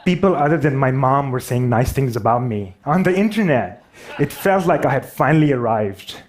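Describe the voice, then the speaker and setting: A man with a Pakistani accent speaks to an audience at a mid-range pitch. His storytelling is personal and self-deprecating, with occasional halting phrases. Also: expressive, slight background noise. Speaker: public speaker.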